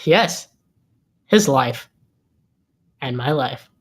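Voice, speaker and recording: Man playing a nervous, halting young bluffer. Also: medium pitch, animated. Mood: fumbling, improvising. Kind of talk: table read of a film script.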